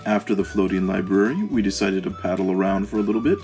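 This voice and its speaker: A man's voice: deep voice, quick pace, music in the background. A man narrating a travel vlog as its host, reading from a script.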